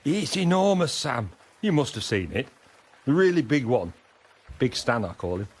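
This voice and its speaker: An actor playing a cheeky, droll private in a First World War radio drama, chatty and teasing. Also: male voice, medium pitch, big pitch swings, moderate pace, husky. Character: cheeky, droll WW1 private fond of a rat.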